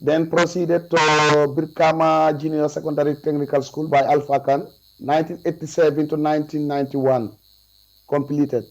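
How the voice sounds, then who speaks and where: A man's voice: fairly high pitch, flat delivery, a little mellow. Gambian man testifying over a muffled video call. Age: 40s. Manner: deliberate, formal answers. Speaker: witness. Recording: muffled video call audio with mains hum.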